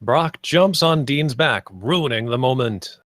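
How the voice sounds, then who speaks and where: Man, medium pitch, script narrator, table read, dry recording. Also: expressive, slow pace, a bit bright, dry recording. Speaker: narrator reading stage directions.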